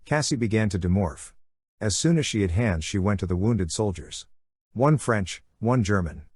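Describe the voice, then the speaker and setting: A man reads a dramatic first-person story as an audiobook narrator. His voice sits fairly low and has a husky grain. The sound is very dry, with no room around it. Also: expressive, moderate pace, darkish tone, no echo.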